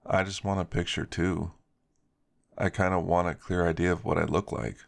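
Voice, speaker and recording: A man's voice: sits low, little pitch movement, normal speed, creaky. A man voicing a playful, slightly insecure male werewolf in an ASMR story. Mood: jealous. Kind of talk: character dialogue in an ASMR story.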